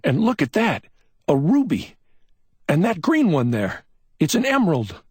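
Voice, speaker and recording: A man doing a brusque, excitable cop. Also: medium pitch, normal speed, husky, no room sound, clean studio sound, voice pushed up. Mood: excited. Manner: snapping, urgent, scolding. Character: brusque, excitable male police officer.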